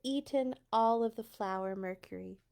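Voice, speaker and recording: A woman's voice: fairly high pitch, unhurried, creaky, a little mellow, clean tone, voice pushed up. Woman reading as a grumbling storybook farmer. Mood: busy, instructing. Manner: grumbling, muttering. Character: muttering, grumbling old-fashioned male farmer in a storybook.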